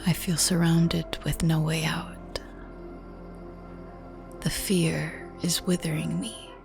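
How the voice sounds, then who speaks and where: A woman praying in a slow, breathy whisper. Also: low voice, little pitch movement. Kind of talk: whispered prayer. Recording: soft music bed under voice. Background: new-age music.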